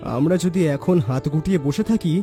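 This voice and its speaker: A cunning young man voiced by a male actor talks in a slightly high, mellow voice over faint music. He is the bossy schemer of a cartoon, coaxing and teasing with full confidence. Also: normal speed, close to an everyday voice. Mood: urging. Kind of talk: dramatic cartoon voice acting.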